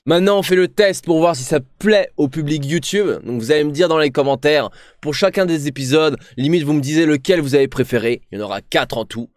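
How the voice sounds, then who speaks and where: Young male streamer, rambling slangy chatter, blunt opinions, slightly high voice, very dry close-mic sound with no room. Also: a little fast, few pauses, dry recording. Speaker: streamer reacting to a TV show. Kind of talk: casual slangy reaction commentary.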